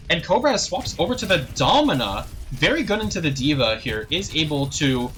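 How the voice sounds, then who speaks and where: Bright-voiced young male esports caster. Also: fairly high pitch, a little hiss or hum. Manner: rapid play-by-play, rising excitement on big plays, frequent throat clearing. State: slightly hoarse, thirsty. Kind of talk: live play-by-play commentary.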